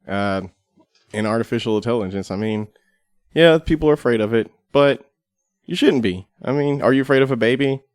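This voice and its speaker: A man's voice: mid-range voice, a little fast, darkish tone, clean tone, no room sound, studio quality. A man chatting casually as a podcast guest, blunt and opinionated. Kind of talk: casual chat.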